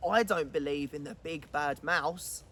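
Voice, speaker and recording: A woman's voice: deep voice, a little slow, fairly bright tone, a little hiss or hum, pitched below the performer's own voice. A woman voicing a brave, curious young monster child in a storybook, exclaiming and wondering aloud. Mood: defiant.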